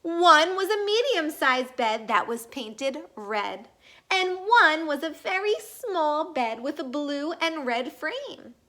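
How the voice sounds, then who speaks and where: High, bright, animated woman storyteller. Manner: animated, deliberate storytelling with emphatic words. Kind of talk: expressive children's read-aloud narration.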